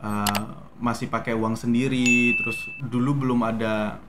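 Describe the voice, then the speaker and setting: A young man from Medan with a softened Medan Indonesian accent, a guest actor telling his story in a relaxed, hesitant way with many fillers. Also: mid-range voice, measured pace, background noise. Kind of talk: casual interview talk.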